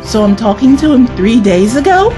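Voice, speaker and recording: High, animated, earnest woman actor. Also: normal speed, over music. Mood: startled, urgent. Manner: urgent, earnest, emotional. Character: earnest, principled former female companion of time traveler. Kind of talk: science-fiction audio drama acting.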